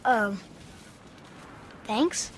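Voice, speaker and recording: A man's voice: high voice, expressive, very slow, full character voice. A young male actor playing an earnest, eager boy, a warrior's son, in a video game. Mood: puzzled. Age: child. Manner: earnest, youthful, wide-eyed. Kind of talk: video game cutscene acting. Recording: echoey reverberant space, faint noise.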